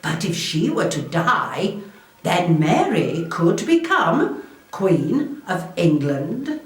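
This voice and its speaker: A woman playing a proud, shrewd, wealthy Elizabethan noblewoman, delivering a formal, theatrical first-person historical monologue in a deliberate way. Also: on the low side, sing-song. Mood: proud, gracious. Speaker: volunteer historical re-enactor. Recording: echoey room, distant microphone.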